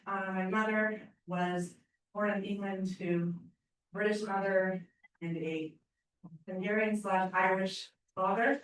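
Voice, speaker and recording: A woman's voice: on the low side, a little hiss or hum. A woman from Canada introducing her family history in a rambling, hesitant way, recorded from far off in an echoey room. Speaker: class participant. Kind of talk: casual self-introduction.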